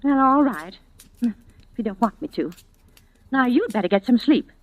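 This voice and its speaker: A woman's voice: fairly high pitch, big pitch swings, normal speed. An actress playing a nosy but well-meaning old landlady in an old-time radio drama, scolding and insistent in a motherly way. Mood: motherly, brisk. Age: older.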